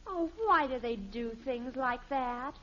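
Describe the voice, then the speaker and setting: An actress playing an earnest, exasperated American teenage daughter in a 1950s radio sitcom, on an old recording. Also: female voice, sits high, a little slow, a bit bright, lo-fi sound.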